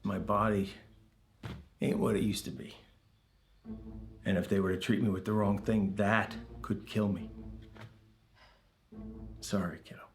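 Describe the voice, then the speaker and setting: An actor playing a weary, dying middle-aged uncle in an interactive film, wry, urgent and informal. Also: male voice, fairly low pitch, little pitch movement, measured pace, long pauses. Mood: weary, resigned. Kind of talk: naturalistic film dialogue. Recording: background music under the voice.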